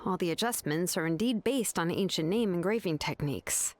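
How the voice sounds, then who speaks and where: An actress playing a laid-back, self-assured young woman smith in a video game, casual and matter-of-fact with thoughtful pauses. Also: fairly low pitch, no echo, close to an everyday voice.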